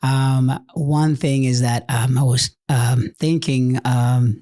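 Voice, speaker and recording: A man's voice: mid-range voice, fairly even intonation, slow pace, warm tone. A middle-aged man from El Salvador, a chiropractor guest talking casually with many fillers and frequent laughs. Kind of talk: casual conversation.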